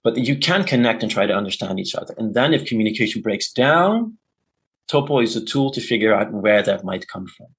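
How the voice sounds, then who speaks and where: Gravelly low-voiced man explaining patiently. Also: sing-song, fairly quick, a bit bright. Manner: thoughtful, explanatory, with frequent self-corrections. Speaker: intercultural communication consultant, guest. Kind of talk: conversational interview.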